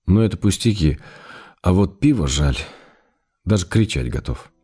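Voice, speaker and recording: A man's voice: sits low, lively intonation, moderate pace, dark tone, husky. An actor playing an ailing writer in a radio drama, reading affectionate, teasing and wryly grumbling letters to his wife. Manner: affectionate, wry, playfully grumbling.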